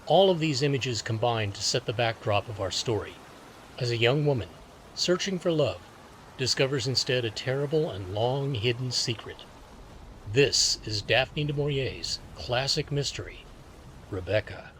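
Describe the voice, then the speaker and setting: Solemn male radio mystery narrator, played by an actor, mid pitch, dramatic scripted delivery, slight ambient noise. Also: animated, moderate pace, a bit bright, natural voice, rough recording. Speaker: announcer. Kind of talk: scripted old-time radio introduction.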